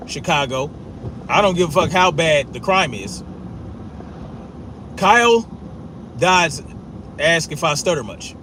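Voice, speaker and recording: Man, fairly high voice, stutters, blunt and profane; noisy outdoor recording. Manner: forceful, profane, repetitive, with a stutter. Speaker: commentator. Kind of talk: blunt opinion monologue.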